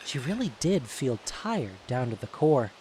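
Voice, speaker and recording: Male storyteller with medium pitch, faint hiss. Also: very animated, measured pace, darkish tone, rough recording. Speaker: narrator. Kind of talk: scripted audio drama narration, storytelling.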